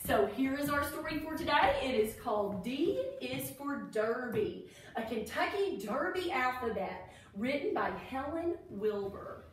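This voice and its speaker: Animated high-voiced woman reading to children, echoey. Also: a little slow, a little hiss or hum. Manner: animated, expressive, addressing children. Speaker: children's story-time reader, educator. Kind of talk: read-aloud for children with explanatory asides. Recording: echoey room, distant mic.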